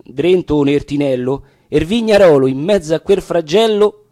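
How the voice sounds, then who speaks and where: A man's voice: on the high side, expressive, normal speed, dark tone, dry recording, studio quality, natural voice. A man playing an Italian volunteer soldier who tells of a night mission in dramatic verse, with a Roman accent. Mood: narrating. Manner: dramatic, storytelling. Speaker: actor reciting dialect verse. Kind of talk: dramatic verse recitation, narrating a war tale.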